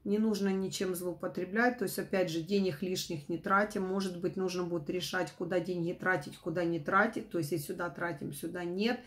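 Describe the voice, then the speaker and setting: A middle-aged woman with a not fully recovered voice, giving an informal tarot forecast to camera in a halting, rephrasing way. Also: lowish voice, fairly even intonation. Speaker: tarot reader, host. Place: small room at home, indoors.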